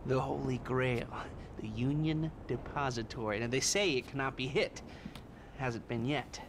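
Actor as a video game heist mastermind, quiet and methodical, light background hiss. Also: male voice, mid-range voice, a little slow, natural voice. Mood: explaining. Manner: soft-spoken, dry, hesitant with fillers. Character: nerdy male heist planner, dry and methodical.

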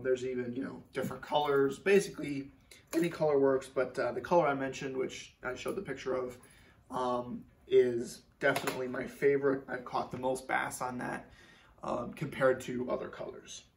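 Young male host, relaxed filler-heavy explainer, mic set back in a garage. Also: mid-range voice, far from the mic. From Minnesota. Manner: relaxed, many fillers, repeats words for emphasis. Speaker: fishing channel host. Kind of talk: casual tackle walkthrough. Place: garage workshop, seated indoors. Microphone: camera a few feet away.

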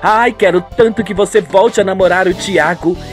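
A woman's voice: mid-range voice, normal speed, soft background music, natural voice. A woman playing a gushing, conniving lady of a wealthy house in an amateur Brazilian radio soap.

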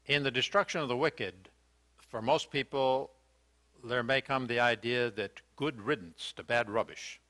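An older man delivers a sermon from the front of a church, his voice bright and clear at a middle pitch. He asks the congregation earnest questions and pauses on fillers and repeated words as he thinks aloud. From United States. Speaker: preacher. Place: church sanctuary, indoors.

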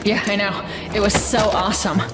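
A woman's voice: mid-range voice, flat delivery, moderate pace, lightly acted. A woman acting a quirky, nonchalant, thrill-loving engineer in a video game. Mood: cool relish. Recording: loud music behind.

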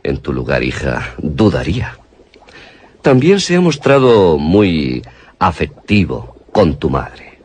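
Científico atormentado, grave y resonante. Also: male voice, a bass voice, sing-song, takes it slowly, slight background noise, exaggerated voice. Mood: cold, commanding. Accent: Castilian Spanish. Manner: theatrical, authoritative. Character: ruthless, tormented scientist father justifying monstrous experiments. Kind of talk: suspense radio drama acting. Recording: long reverberation, music underneath.

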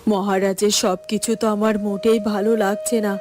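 A woman voicing an anxious, suspicious queen in a cartoon, fretful and haltingly repeating her words. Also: mid-range voice, little pitch movement, a little mellow, natural voice. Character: anxious, suspicious queen, protective mother of a prince. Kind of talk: Bengali cartoon voice acting.

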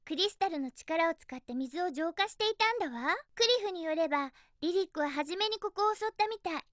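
A woman's voice: very high voice, expressive, brisk, fairly bright tone, no echo, studio quality. An actress voicing a spirited, bossy young female hedgehog in a video game, with perky, emphatic, feisty delivery. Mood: focused. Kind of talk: Japanese video game character voice clips.

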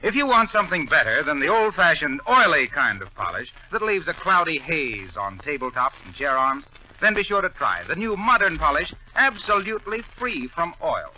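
A man announcing a sponsor's message in 1930s radio style. His voice is on the high side and very bright, with animated, polished salesmanship. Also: normal speed, low-quality recording. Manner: polished, emphatic sales delivery. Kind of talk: 1930s radio commercial, scripted.

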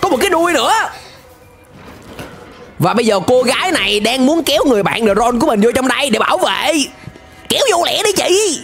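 A man's voice: very high voice, very animated, brisk, gravelly, pauses often, over music. A young man with a southern Vietnamese accent giving playful, exclamatory reaction commentary in a casual, chatty way on a close microphone. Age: young adult. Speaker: reaction video host. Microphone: close desk microphone with headset.

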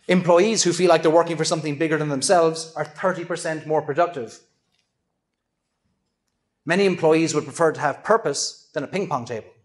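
A middle-aged man giving a conference keynote, earnest and measured, with self-deprecating asides. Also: a little high. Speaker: keynote speaker. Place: large event hall with an audience. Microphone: handheld microphone at a lectern. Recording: echoey hall.

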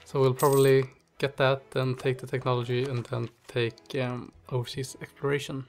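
A man's voice: mid-range voice, flat delivery, normal speed. A man with a stuffy nose and a slightly strained voice, hosting casual strategy game commentary, thinking aloud with many fillers. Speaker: gaming channel host.

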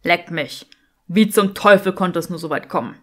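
Sardonic young wizard, voiced by a woman, lowered pitch, dry, grumbling, emphatic, audiobook character. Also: moderate pace, a bit bright. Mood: exasperated.

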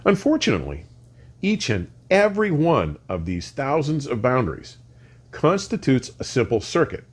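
An older man presents a scripted lesson as the host of an explainer series. His pitch is mid-range and swings widely as he stresses key words. His phrasing is deliberate and exact. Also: slightly rough. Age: older, around 60. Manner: measured, deliberate, emphatic phrasing with precise wording. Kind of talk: scripted educational explanation to camera. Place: furnished home listening room, indoors.

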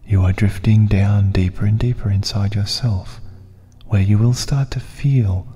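A man with a dark, mellow voice leads a guided meditation in soft, breathy phrases. His delivery is very slow and soothing, with long pauses. The voice sits in a long reverb over music. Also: lowish voice.

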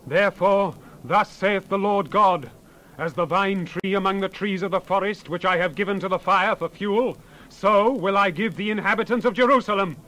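An actor playing a stern prophet who proclaims God's judgment in an emphatic, declamatory dramatized scripture reading. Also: male voice, high-pitched, moderate pace, fairly bright tone, rough recording. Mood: stern, condemning.

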